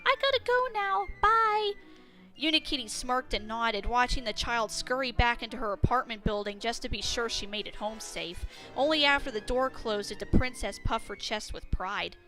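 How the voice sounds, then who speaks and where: A woman reading a scripted story as narrator, with an expressive, dramatic storytelling delivery. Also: a little high, normal speed, hardly any pauses, soft background music.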